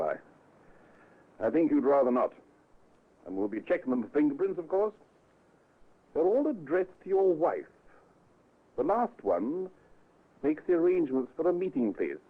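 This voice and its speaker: An actor playing a measured, methodical police inspector in an old radio drama, dry and official with careful pauses. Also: male voice, a little high, very animated. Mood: measured, tactful. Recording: muffled, lo-fi old radio recording.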